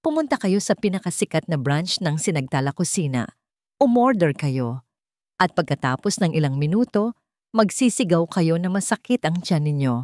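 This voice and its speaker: Casting: rich old villainess woman performer, cold, menacing, imperious, low register, dramatic audio story. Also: sing-song, moderate pace, a little mellow, no echo, studio quality. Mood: scheming, commanding. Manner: commanding, menacing. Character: cold, scheming, wealthy elderly villainess.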